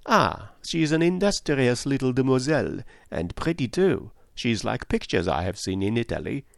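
A man's voice: medium pitch, big pitch swings, moderate pace, creaky, no room sound, studio quality. A man voicing a polite, inquisitive foreign detective in an audiobook, courteous and formally phrased as he probes. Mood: genial, curious.

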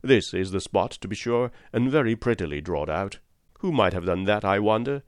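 A man voicing a wily old one-legged pirate cook who talks in a folksy, rough sailor's way. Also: lowish voice, expressive, moderate pace, no room sound, studio quality, lightly acted. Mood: wheedling.

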